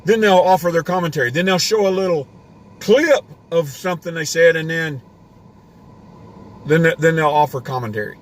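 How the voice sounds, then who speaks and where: A man's voice: on the high side, moderate pace. A man hosting a homesteading live stream from inside a parked car, rambling in a casual rant with a worn voice. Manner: ranting, rambling with restarts. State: voice getting worn.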